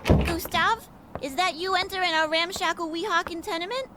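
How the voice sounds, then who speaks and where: A performer playing a boxer's excitable, wordy girlfriend in a theatrical old-time radio comedy. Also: very high-pitched, moderate pace, heavy background noise. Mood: concerned.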